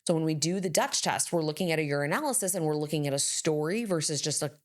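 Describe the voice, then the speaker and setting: A woman co-hosting a health podcast, giving measured advice with casual fillers. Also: sits low. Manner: measured, advisory, with hedging fillers. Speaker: podcast co-host, health practitioner.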